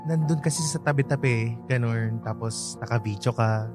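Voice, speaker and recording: An actor playing an excitable young Filipino man in a Taglish radio drama, campy, gossipy and dramatic. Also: mid-range voice, normal speed, lightly acted. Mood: explaining. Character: Eugene, campy, excitable young male friend. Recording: dry studio, faint music behind.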